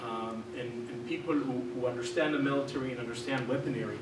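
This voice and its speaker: A man in his thirties from Israel giving a talk to an audience, pausing often and restarting sentences. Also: medium pitch, steady tone, very noisy. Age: 30s. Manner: hesitant, many fillers and restarts. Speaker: guest speaker. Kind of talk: public talk. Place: lecture hall. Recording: echoey hall from far off.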